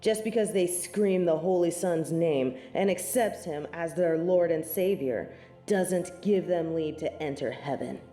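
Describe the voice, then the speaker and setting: A woman's voice: fairly low pitch, measured pace, dark tone, roomy sound, faint music behind, close to an everyday voice. A woman playing a snobby, scornful angel in a scripted audio roleplay, speaking in formal, archaic phrases with lofty disdain. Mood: cold superiority. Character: snobby, haughty female angel of judgement, proud, scornful tsundere.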